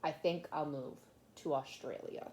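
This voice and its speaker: Woman teacher reading aloud, expressive. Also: low-pitched, unhurried, slight background noise. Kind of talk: read-aloud of a children's picture book.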